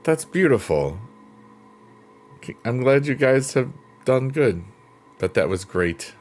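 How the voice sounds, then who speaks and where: A man in his fifties with a deep bass voice, casually chatting with viewers as a livestream host in a relaxed, friendly, unhurried way. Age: 50s. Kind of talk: casual chat reading viewer comments. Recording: clear piano music behind. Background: chill piano music.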